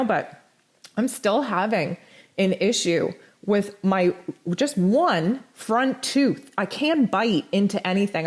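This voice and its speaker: A woman with a hoarse, strained voice giving a blunt, animated spiritual forecast as host of her own channel. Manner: blunt, animated, pitch jumps high for emphasis. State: hoarse, nearly lost voice. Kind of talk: casual spoken forecast.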